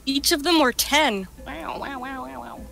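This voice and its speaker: A playful woman gamer with a high voice chats casually through a co-op game. She teases and curses at an easy, slow pace, with game music underneath. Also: vocal fry, fairly bright tone. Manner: playful, teasing, foul-mouthed. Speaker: gaming channel player and host. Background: video game music.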